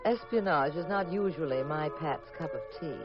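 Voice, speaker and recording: A woman's voice: deep voice, normal speed, close to an everyday voice. An actress with an American accent playing a poised detective's wife, wryly narrating her mystery in 1950s radio drama style. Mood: wry storytelling. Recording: dull old radio recording with music.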